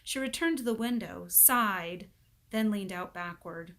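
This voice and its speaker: A woman reading a children's book aloud as expressive narration, acting out the dialogue in an animated way. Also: mid-range voice, unhurried.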